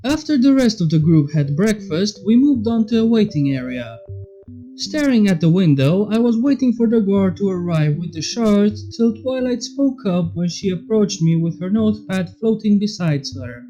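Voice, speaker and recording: Mellow-voiced woman narrating a story. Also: mid-range voice, animated, normal speed. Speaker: fan fiction narrator. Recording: slightly dull audio, music behind. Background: background music.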